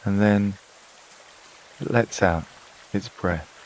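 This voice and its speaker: A man narrating a scripted sleep meditation in a calm, soothing, unhurried way, with long pauses between phrases. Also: lowish voice, a little hiss or hum, rough recording. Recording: water and rain sounds behind voice. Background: flowing stream, rain-like ambience.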